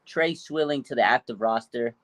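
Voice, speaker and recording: Late 30s dude cohosting a sports show, casual and opinionated, slangy w some cussing, mid pitch, no room echo. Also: male voice, normal speed, fairly bright tone.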